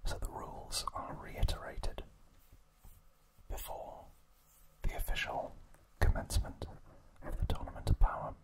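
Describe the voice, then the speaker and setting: A man whispering a trivia recap as an ASMR host, in breathy, unvoiced speech broken into short, deliberate phrases. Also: low-quality recording.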